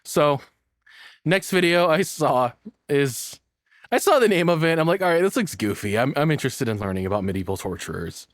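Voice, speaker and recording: A man's voice: a little high, very animated, moderate pace, no echo, studio quality. A man giving casual, joking reaction commentary as a video host, rambling and swearing in a flippant way.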